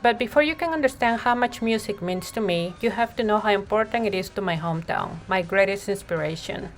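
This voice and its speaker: A woman narrating a children's picture book in an expressive read-aloud style, with deliberate phrasing and emphasized words. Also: mid-range voice, rough recording. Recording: music playing behind the voice. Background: music.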